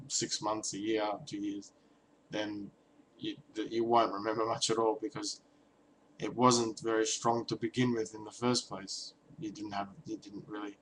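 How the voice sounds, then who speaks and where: A man's voice: lowish voice, fairly bright tone, a little hiss or hum, rough recording. A young man casually vlogging about language learning, hesitant and reflective, with many restarts and ums. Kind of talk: casual talking-head vlog.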